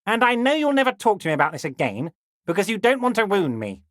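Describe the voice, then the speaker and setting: Casting: scheming male union boss in a game, high for a man, very lively intonation, oily mock-friendliness. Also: fast, no room sound, clean studio sound. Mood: mock hurt. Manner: unctuous, theatrical, mock-friendly condescension. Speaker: video game voice actor. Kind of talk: scripted game character dialogue.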